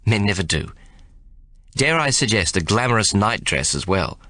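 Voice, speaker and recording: A man's voice: on the low side, very animated, moderate pace, pushed and bright, no room sound. A man reading the letter of a fond, fretful older sister in a storybook reading. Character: worried, bossy older sister writing a letter.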